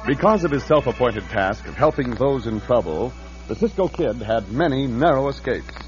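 A man's voice: medium pitch, expressive, normal speed, natural voice. A man narrating an old-time western radio drama in a declamatory storytelling style. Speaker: announcer. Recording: dull old radio recording with loud music.

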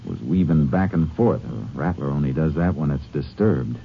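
Very deep stern Texas Ranger. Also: male voice, moderate pace, vocal fry, slight background noise. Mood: focused, watchful. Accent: American. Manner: terse, clipped, authoritative. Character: stern, shrewd male Texas Ranger, a seasoned tracker. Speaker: lead actor and narrator. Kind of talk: 1950s American radio drama acting. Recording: muffled early-1950s radio recording with music.

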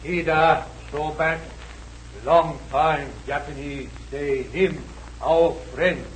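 An actor playing a wary Malayan village chief who speaks halting broken English mixed with Malay. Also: male voice, on the high side, fairly even intonation. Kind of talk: 1940s radio acting. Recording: noisy old radio recording.

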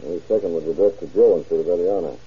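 An actor playing a dutiful, deferential deputy, reading deliberately in an old radio crime drama. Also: male voice, low-pitched, dark tone, slightly rough. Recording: dull, muffled old radio recording.

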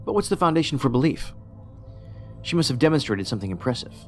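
An actor playing a pedantic, socially awkward federal investigator in a science fiction audio drama, speaking precisely and formally with a detached, musing manner. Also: male voice, medium pitch, animated, quick pace, natural voice. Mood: detached, analytical. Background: music under the voice.

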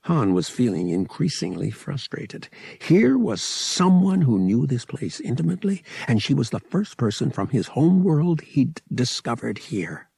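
Husky male audiobook narrator. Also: mid-range voice, very animated, a little slow, hardly any pauses, warm tone, no echo. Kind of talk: dramatic scripted narration.

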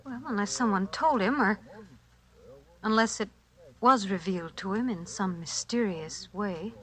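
An actress playing a placating, uneasy wife with a hesitant manner in 1940s radio acting style. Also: female voice, mid-range voice, measured pace, very noisy, close to an everyday voice.

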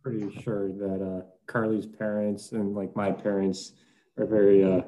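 A young man speaking as an interview guest, relaxed and hesitant with fillers. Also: fairly low pitch, unhurried, rough recording. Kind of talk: casual interview chat.

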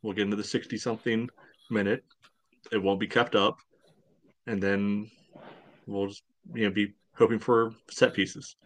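Wry, lowish-voiced male sports podcaster. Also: little pitch movement, moderate pace, pauses often, a bit bright, low-quality recording. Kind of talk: casual sports talk.

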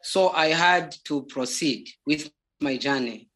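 Testimony speaker, Zambian accent, Bemba native. Mid-low, unhurried delivery, halting and deliberate, with emphatic repetition. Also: fairly bright tone. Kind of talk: spoken personal testimony.

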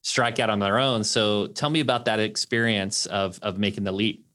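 A man's voice: on the low side, fairly even intonation, moderate pace, a bit bright. A man hosting a podcast, moving between scripted narration and interview talk. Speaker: podcast host.

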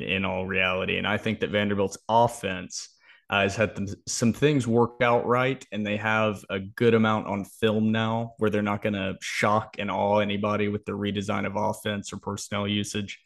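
A man's voice: lowish voice, gravelly, a bit bright. A man co-hosting a sports podcast, talking through analysis and predictions in a casual, explanatory way.

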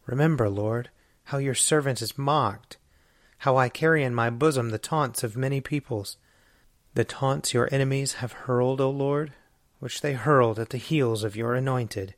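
A man's voice: medium pitch, moderate pace, dry recording. Solemn man reading a psalm, dry sound. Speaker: scripture reader.

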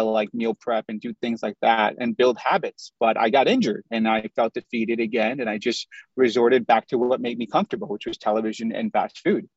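A man's voice: mid-range voice, no echo. A man in his thirties from the American Midwest, candidly telling his life story as a guest on a video call interview. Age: 30s. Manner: candid, storytelling, lists things in runs. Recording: dull lo-fi video call audio.

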